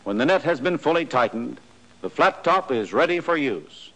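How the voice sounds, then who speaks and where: A man's voice: mid-range voice, expressive, moderate pace, fairly bright tone, low-quality recording. An American man narrating a 1940s training film from a script in a formal, precise, matter-of-fact way.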